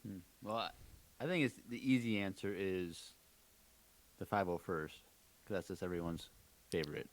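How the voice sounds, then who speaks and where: A man's voice: low voice, normal speed, pauses often. A man co-hosting a podcast in casual chat. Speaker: podcast co-host.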